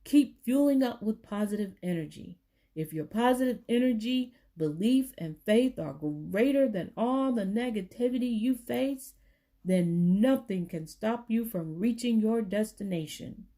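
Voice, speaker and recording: A woman reading a children's book aloud, with deliberate, emphatic phrasing for young listeners. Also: medium pitch, lively intonation, dark tone. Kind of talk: storybook read-aloud for children.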